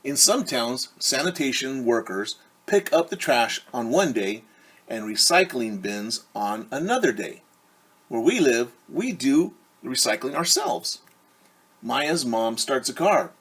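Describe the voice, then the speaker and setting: Husky-voiced man reading a children's storybook. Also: mid-range voice, fairly bright tone. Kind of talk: read-aloud storytime with simple explanations for children. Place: small room at home.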